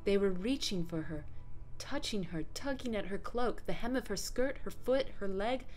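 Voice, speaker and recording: A woman's voice: medium pitch, expressive, normal speed, a little mellow. A woman giving a dramatic, audiobook-style reading of fantasy prose. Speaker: reader of book quotations.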